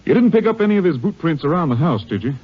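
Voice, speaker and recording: An American actor playing a skeptical, businesslike captain of the Texas Rangers in a 1950s radio drama, with a husky voice. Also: male voice, on the high side, big pitch swings, racing. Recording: muffled vintage radio recording.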